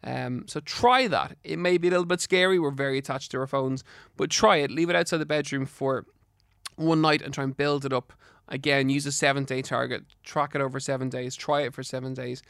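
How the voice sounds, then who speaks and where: Irish guy w a slight lisp doing a health podcast, bit of fry in the voice, dry studio sound. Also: mid-range voice, a bit bright, dry recording. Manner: earnest, instructive, encouraging. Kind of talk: solo podcast talk giving health advice.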